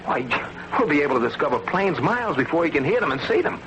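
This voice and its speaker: An American actor playing an excitable, eager young navy scientist in an old-time radio drama. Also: male voice, fairly high pitch, fast. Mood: eager realization. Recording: muffled, noisy old radio recording.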